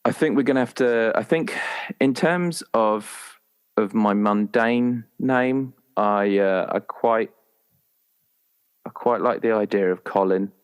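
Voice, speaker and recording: A man in a casual, halting chat as a roleplay player, often restarting his phrases. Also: fairly low pitch, smooth. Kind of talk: casual chat, creating a game character.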